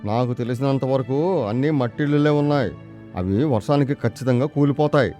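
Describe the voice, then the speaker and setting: A man playing a calm, practical village father in a moral story. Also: mid-range voice, a little fast, faint music behind, lightly acted. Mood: reassuring. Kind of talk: moral story character dialogue.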